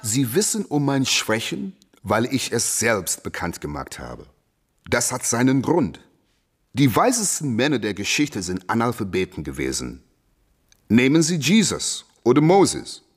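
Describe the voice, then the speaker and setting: An actor in a German radio play voicing a proud, fiery young boxer who preaches emphatically with rhetorical repetition. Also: male voice, mid-range voice, wildly swooping pitch, unhurried, breathy edge, exaggerated voice. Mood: proud, measured.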